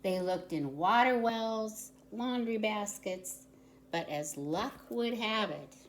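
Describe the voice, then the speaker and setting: A woman's voice: mid-range voice, lively intonation, slow. A woman volunteer reading to young children, explaining things simply, with chatty asides and questions to the listeners. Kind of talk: children's story time, read-aloud with chatty asides. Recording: roomy echo with audible background noise.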